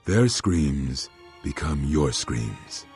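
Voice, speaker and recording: An actor playing a brooding, grave Jedi master in a video game, with a weighty, ominous delivery. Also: male voice, rumbling low, big pitch swings, darkish tone, faint music behind, exaggerated voice. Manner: slow-burning, ominous, weighty. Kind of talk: dramatic video game dialogue.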